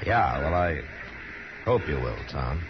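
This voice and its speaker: An actor playing a calm, easygoing frontier lawman, understated and laconic, in a 1950s radio drama. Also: rumbling low, slow, exaggerated voice. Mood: patient, tactful. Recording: dull 1950s radio, loud background crowd.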